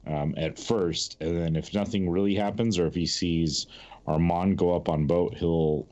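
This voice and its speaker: A man's voice: low-pitched, fairly even intonation, moderate pace, mellow. A man playing a tabletop game, describing his character's actions casually in hesitant, piecemeal phrases, on a slightly muffled recording. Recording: slightly dull, muffled sound.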